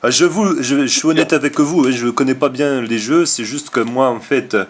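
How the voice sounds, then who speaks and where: A man's voice: medium pitch, moderate pace, lightly acted. A man playing a broke, shady middle-aged father who sells off his son's games in a hesitant, wordy, confiding way on a prank call. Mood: confiding, rambling. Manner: hesitant, wordy, falsely candid.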